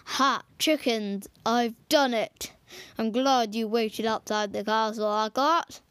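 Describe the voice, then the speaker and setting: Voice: medium pitch, steady tone, slow, a bit bright, clean tone, no echo. A young storyteller acting out an amateur toy puppet play in a theatrical, exclamatory way.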